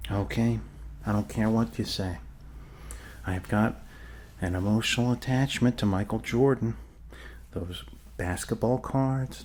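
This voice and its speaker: A man's voice: medium pitch, a little mellow, slight background noise, lightly acted. A man playing a friendly doctor in an ASMR roleplay, reassuring his patient in hesitant, rambling talk with joking asides. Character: friendly rambling male doctor treating a zombie bite. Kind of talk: ASMR roleplay, improvised soft bedside talk.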